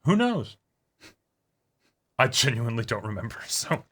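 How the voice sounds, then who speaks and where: A man's voice: medium pitch, very animated, measured pace, fairly bright tone, husky. A man co-hosting an anime reaction show, chatting casually and hedging his theories as he goes. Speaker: co-host of an anime reaction channel. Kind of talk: casual discussion of an anime episode.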